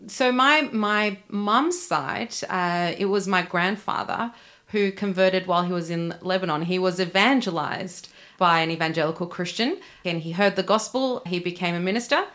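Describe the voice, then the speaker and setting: A woman's voice: on the low side, normal speed, fairly bright tone. A young woman from Australia speaking earnestly as a guest on an interview podcast.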